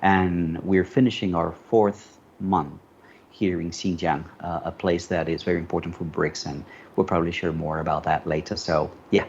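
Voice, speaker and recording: A middle-aged man from Colombia, a native Spanish speaker, telling his story in a relaxed, rambling way with many fillers. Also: sits low, moderate pace. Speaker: panel guest, media commentator. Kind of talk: casual conversational storytelling. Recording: dull lo-fi call audio with faint noise.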